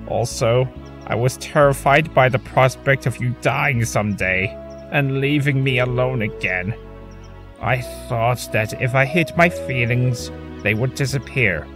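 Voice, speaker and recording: A man playing a remorseful, lovestruck male trickster spirit in an audio drama, speaking in a halting, earnest way, with music under the voice. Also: mid-range voice, close to an everyday voice. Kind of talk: fan audio drama acting.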